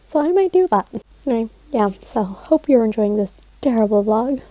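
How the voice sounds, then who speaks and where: A woman's voice: a little high, animated, moderate pace, poor audio. Chatty young woman rambling about books. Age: young adult. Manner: chatty, rambling, lots of "like" fillers, soft laughs. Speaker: book vlogger. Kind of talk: casual reading vlog chat.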